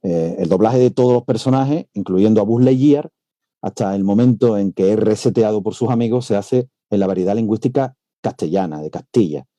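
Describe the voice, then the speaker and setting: Male professor, academic lecture, video call, measured with hesitations, very warm dark voice. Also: on the low side, dry recording. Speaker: university professor giving a lecture. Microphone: computer mic on a video call.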